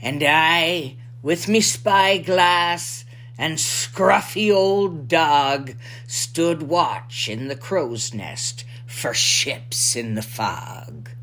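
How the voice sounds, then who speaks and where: A woman voicing a salty old pirate lookout, spinning a rhyming Christmas yarn in a theatrical, drawn-out manner. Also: low-pitched, animated, fairly bright tone, heavily acted. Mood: hushed storytelling.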